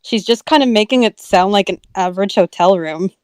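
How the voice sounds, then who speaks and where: Smooth-voiced chatty woman co-host. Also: medium pitch, brisk, no echo, clean studio sound. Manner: chatty, earnest, with frequent filler words. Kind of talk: casual chat on a stream.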